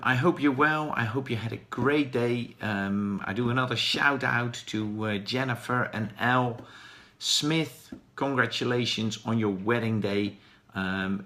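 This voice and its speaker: A man in his forties with a Dutch accent and a voice that sits on the lower side. He talks earnestly on his daily live stream, encouraging his viewers and filling pauses with ums and uhs. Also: a bit bright. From Netherlands. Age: 40s. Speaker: vlogger.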